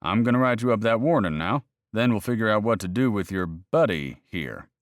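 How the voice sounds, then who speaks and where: A man's voice: fairly low pitch, lively intonation, a little fast, no echo, clean studio sound, natural voice. A man voicing a stern, officious male game warden in a read-aloud story, clipped and addressing people as son.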